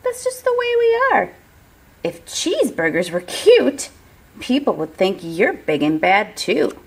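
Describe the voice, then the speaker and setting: Folksy, mock-innocent wolf for a children's tale, female reader, gravelly and very animated. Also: medium pitch, a little slow, heavily acted, low-quality recording. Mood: mock-innocent, chatty. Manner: mock-innocent, theatrical storytelling. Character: self-justifying folksy wolf telling his side. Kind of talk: children's storybook read-aloud.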